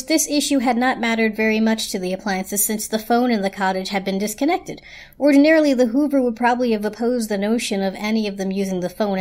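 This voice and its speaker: A woman reading aloud as a storyteller, in a dramatic storybook narration style. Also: a little high. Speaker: story reader.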